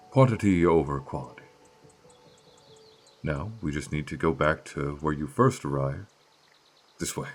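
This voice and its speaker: A man acting in a fantasy audio drama as a gentle, melancholy guardian of a flower field, speaking in measured, halting phrases. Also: rumbling low. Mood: calm, helpful.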